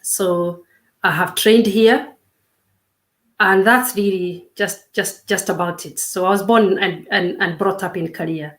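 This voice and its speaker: A woman in her fifties, a coach, speaking as an interview guest in a measured, reflective way with frequent pauses and restarts. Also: medium pitch, fairly even intonation. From Kenya. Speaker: guest, self-discovery coach. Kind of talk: casual interview chat.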